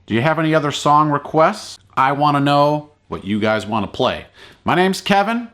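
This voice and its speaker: A man's voice: fairly high pitch, expressive, normal speed, fairly bright tone. A man giving a casual, encouraging play-along ukulele tutorial in an easygoing, informal way. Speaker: ukulele teacher and online video host.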